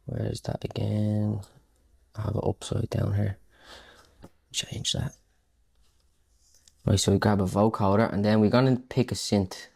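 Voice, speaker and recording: A man's voice: fairly low pitch, darkish tone. A man giving a casual, unscripted music production tutorial, thinking aloud informally as he works. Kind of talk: casual unscripted tutorial talk while working.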